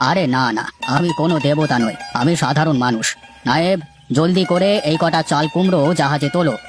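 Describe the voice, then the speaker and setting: A man voices a fair-minded, authoritative male merchant in a cartoon folk tale. He gives orders in a measured way, in a somewhat high register, over clear background music. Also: natural voice. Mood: kindly. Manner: commanding yet measured. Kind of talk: cartoon voice acting.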